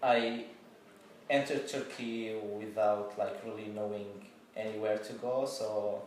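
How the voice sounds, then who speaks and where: A young man from Syria, answering interview questions slowly, pausing and trailing off. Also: lowish voice, fairly even intonation. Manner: slow, halting, trailing off. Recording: echoey room, distant mic, faint noise.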